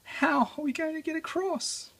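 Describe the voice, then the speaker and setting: A storyteller voicing a small, plucky, anxious male mouse in a children's storybook, with excitable exclamations. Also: high-pitched, normal speed, gravelly, voice pushed up.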